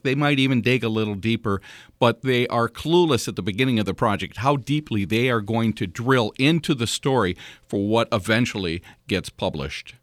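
A man's voice: mid-range voice, no room sound. Male news editor as radio guest, earnest and explanatory, dry close studio sound. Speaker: executive director and editor of a news organization.

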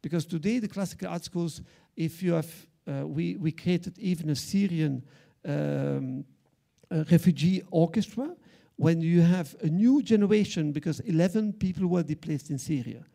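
Gravelly Flemish-accented man, halting speech. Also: fairly high pitch, dark tone. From Belgium. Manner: halting, many fillers and restarts. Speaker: arts center director. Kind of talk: panel discussion remarks.

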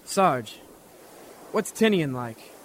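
A man playing an alert, excitable young infantryman in a World War Two radio drama. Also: fairly high pitch, extremely slow, slight background noise, full character voice. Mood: curious.

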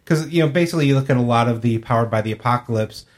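Male co-host, medium pitch, plain-spoken and earnest, casual hobby panel chat. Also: rapid-fire. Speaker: podcast co-host. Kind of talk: casual panel chat about tabletop games.

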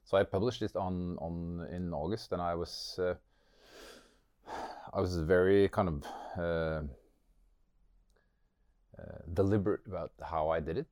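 Norwegian guy being interviewed, really low voice, stops and restarts hunting for words, dry close sound. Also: fairly even intonation, a little mellow, no room sound. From Norway. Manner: hesitant, halting, repeats words as he searches for phrasing. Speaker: interview guest. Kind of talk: reflective interview talk about personal experience.

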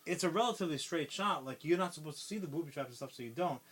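A man's voice: fairly high pitch, expressive, racing, a bit bright, slightly rough. A man co-hosting a podcast, casually retelling a movie plot in a rambling way, with constant swearing and lots of fillers. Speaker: podcast co-host.

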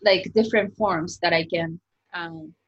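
A woman's voice: fairly low pitch, a little slow. Chatty Japanese-accented woman, lo-fi sound. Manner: chatty, lively, many "like" fillers. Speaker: author guest. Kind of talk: casual interview talk.

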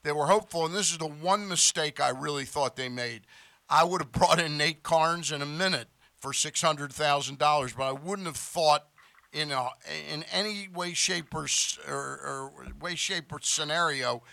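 A man's voice: fairly high pitch, moderate pace, gravelly, pushed and bright, dry recording. A man hosting a sports radio show, talking casually and offering his opinions on baseball in a conversational way.

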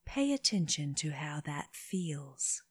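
A woman leading a guided meditation for children, speaking gently in short phrases with long pauses, her breathy voice often dropping toward a whisper. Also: deep voice, sing-song, dry recording, clean studio sound. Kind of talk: slow guided body scan meditation.

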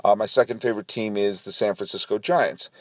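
A man's voice: fairly low pitch, brisk, dry recording, clean studio sound. A man in his forties hosting a solo podcast, chatty and rambling with lots of self-deprecating asides. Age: 40s. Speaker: podcast host. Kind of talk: casual solo podcast monologue. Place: home studio.

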